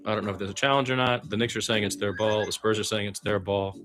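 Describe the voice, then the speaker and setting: A man's voice: fairly low pitch, fairly even intonation, quick pace, a bit bright, faint music behind. A man giving conversational radio play-by-play of a basketball game, mixing running calls with opinionated asides. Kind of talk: live basketball play-by-play commentary.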